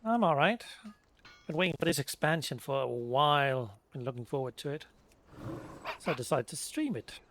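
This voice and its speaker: Higher-voiced man, casual game streamer. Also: expressive, normal speed, fairly bright tone, soft background music. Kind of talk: casual let's play commentary.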